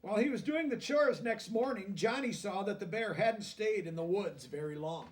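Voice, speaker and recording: A man reading a children's picture book aloud, deliberately, with simple explanatory asides in plain words. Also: sits high, animated, distant mic. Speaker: storybook reader.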